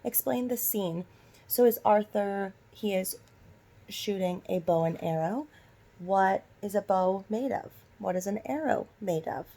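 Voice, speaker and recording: A woman's voice: medium pitch, unhurried, dark tone. A woman teacher doing a storybook read-aloud for young children, instructing and asking them direct questions. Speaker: elementary school teacher.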